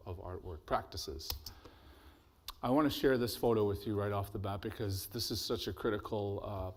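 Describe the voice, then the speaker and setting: A man with a deep, bassy voice giving a relaxed, joking symposium talk as an artist, speaking into a microphone in a lecture hall. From Blackfoot territory, Alberta. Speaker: artist and presenter. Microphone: handheld or podium microphone.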